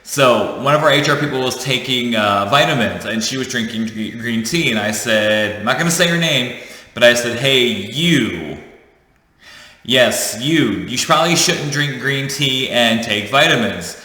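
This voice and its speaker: A man's voice: medium pitch, expressive, unhurried, pushed and bright. A man in his thirties telling a personal story to his viewers in a chatty, jokey way, correcting himself as he goes. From United States. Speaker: vlogger. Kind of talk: casual storytelling to camera. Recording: echoey room with long reverb.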